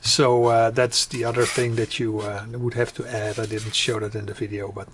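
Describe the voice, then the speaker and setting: A man giving a casual, unscripted hobby tutorial, conversational and hesitant, often pausing mid-sentence. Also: mid-range voice, little pitch movement, fairly bright tone, slight background noise. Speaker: hobbyist demonstrating a build. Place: indoors at home.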